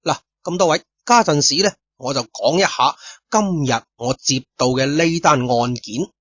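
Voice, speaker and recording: A man's voice: fairly high pitch, normal speed, slightly rough, no echo. A man telling a detective story in first person, speaking straight to his listeners with chatty asides. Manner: chatty, addresses listeners directly, lively asides. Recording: dull lo-fi dry studio recording.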